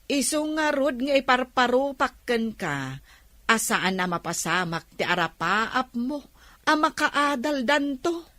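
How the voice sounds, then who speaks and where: A nosy older village woman nags and scolds in a comedic radio drama. Her voice is fairly high and swoops animatedly as she presses every point. The sound is close and dry. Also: sing-song, a little slow, bright, forceful tone, no room sound, heavily acted. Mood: insistent. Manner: scolding, insistent, emphatic questioning.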